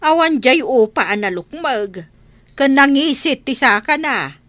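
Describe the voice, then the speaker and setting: A woman's voice: high-pitched, lively intonation, a little slow, a bit bright, no echo. A woman playing a worried older village mother, fretful and exclaiming, in a radio comedy drama. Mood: alarmed.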